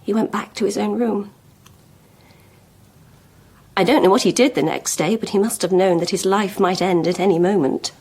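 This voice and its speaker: An actress playing a proud, lovelorn schoolmistress in a period radio drama, speaking frankly and deliberately with emotional intensity. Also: female voice, mid-range voice, a little mellow, slightly rough. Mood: confessing. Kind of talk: BBC radio drama acting.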